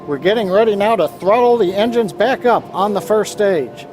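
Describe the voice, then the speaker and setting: A man's voice: sits high, lively intonation, normal speed, a little mellow, faint music behind. A man giving live technical commentary as part of a launch broadcast.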